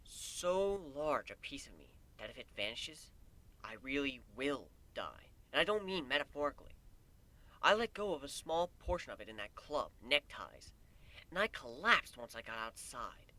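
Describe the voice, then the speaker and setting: A man's voice: mid-range voice, very animated, moderate pace, bright, forceful tone, poor audio. Man as a brooding, intense griffin. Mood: defiant, bitter. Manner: intense, emphatic, dramatic. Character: angry, weary male griffin, defiant and brooding. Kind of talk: dramatic fan fiction reading.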